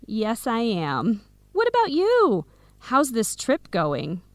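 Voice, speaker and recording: Keen young best friend, kinda high voice, radio drama, really dry sound. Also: female voice, wildly swooping pitch, slow pace, no room sound, exaggerated voice. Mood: excited. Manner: clearly phrased scripted explanations. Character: Samira, Helen's enthusiastic American best friend, aspiring business owner. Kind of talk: English-learning radio drama acting.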